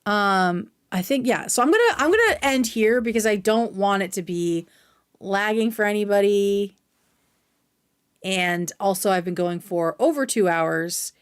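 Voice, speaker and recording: A woman's voice: medium pitch, animated, measured pace, smooth, no echo, studio quality. A woman hosting a livestream, chatting casually and informally with viewers, with some swearing. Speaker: livestream host. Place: indoors at home.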